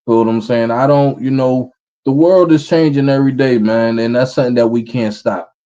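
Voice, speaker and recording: A man in his thirties with a New York accent, a rapper answering interview questions in a relaxed way. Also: mid-range voice, normal speed, dull audio. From Brooklyn, New York, USA. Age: 30s. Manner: relaxed, repeats "feel what I'm saying". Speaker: rapper guest.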